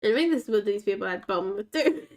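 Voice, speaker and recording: A woman's voice: medium pitch, expressive, very fast. A woman with a naturally scratchy voice chatting casually to herself and her pets in the game as she plays. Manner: rambling, chatty, talks to herself and the game's dogs. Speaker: game streamer. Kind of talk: casual gameplay commentary.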